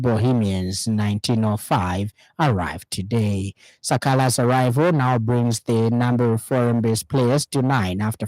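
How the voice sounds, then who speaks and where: A man with a Zambian accent reading a scripted radio news bulletin in a formal, measured way. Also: mid-range voice, a little mellow, dry recording, poor audio. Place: radio studio.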